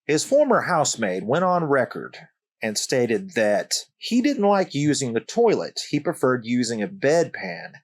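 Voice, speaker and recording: Guy telling an odd true story, chatty, mid pitch voice, tosses in jokey little asides. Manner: conversational, wry asides to the viewer. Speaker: channel host. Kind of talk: casual true-story narration.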